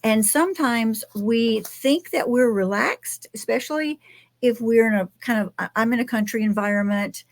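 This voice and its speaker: Texan woman host, high-pitched, dry close sound, soft and measured. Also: animated, dry recording. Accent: Texas. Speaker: podcast host. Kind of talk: interview conversation.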